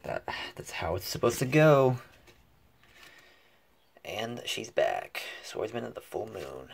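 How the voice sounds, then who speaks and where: A man's voice: lowish voice, very animated, slow pace, creaky, slightly rough. A man giving casual unboxing commentary in short, muttered, fragmentary remarks. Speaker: card pack opening host. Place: indoors at a table covered with cards.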